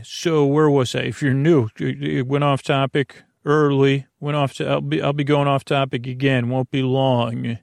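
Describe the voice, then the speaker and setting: A man hosting a bedtime podcast in a creaky, lulling voice, meandering hesitantly through tangents. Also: medium pitch, no echo, clean studio sound. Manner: hesitant, digressive, trailing off into tangents; lulling, soothing. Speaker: host of a bedtime sleep podcast. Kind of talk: rambling, meandering bedtime monologue.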